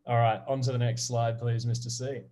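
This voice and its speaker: An Australian man in his thirties, presenting to a class of kids over a video call. He sounds friendly and upbeat, with a middle-pitched voice. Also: flat delivery, a little fast, warm tone. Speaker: guest presenter.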